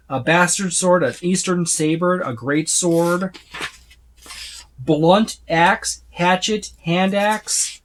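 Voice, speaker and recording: A man's voice: fairly high pitch, fairly bright tone. A man giving a casual, unscripted walkthrough of a book, rambling and often listing items one by one. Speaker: tabletop gaming reviewer.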